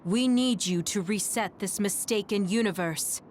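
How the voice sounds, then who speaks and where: A woman's voice: mid-range voice, a little slow, heavy background noise, lightly acted. A woman playing a tormented military commander and confessed spy in an English video game dub. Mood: composed. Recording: music playing behind the voice.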